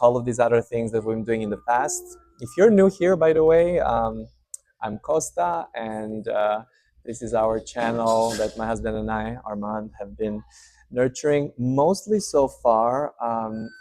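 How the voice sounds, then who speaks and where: A man in his thirties from Serbia with a Serbian accent, hosting his channel and talking straight to camera in a relaxed, unscripted way with many fillers. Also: medium pitch. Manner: warm, hesitant, many fillers. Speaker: channel host. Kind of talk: casual unscripted update to camera. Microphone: speaking to camera.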